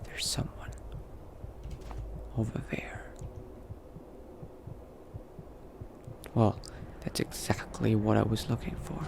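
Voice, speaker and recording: A man's voice: lowish voice, monotone, unhurried, gravelly, a little mellow. A man voicing a cautious, coldly pragmatic new vampire in a roleplay, muttering to himself and often dropping into a whisper. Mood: wary, calculating. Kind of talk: in-character roleplay narration, thinking aloud. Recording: noticeable background noise.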